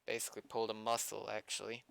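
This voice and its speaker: A man's voice: on the low side, completely flat delivery, fast talker, creaky, fairly bright tone, clear, resonant tone, no echo. A tired man talking casually to himself and swearing as he works on a live stream. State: tired, sighing. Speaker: streamer developing a game roleplay server. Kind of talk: casual unscripted stream talk while working.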